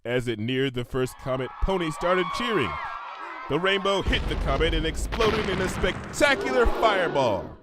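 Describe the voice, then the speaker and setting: A man's voice: on the high side, animated, normal speed, heavy background noise. A man narrating a comedic fan fiction story in a theatrical, emphatic manner, drawing phrases out for suspense.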